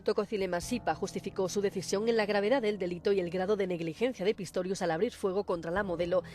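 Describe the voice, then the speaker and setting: A woman's voice: mid-range voice, fairly even intonation, quick pace, a little mellow, a little hiss or hum. A woman newsreader reading a scripted bulletin.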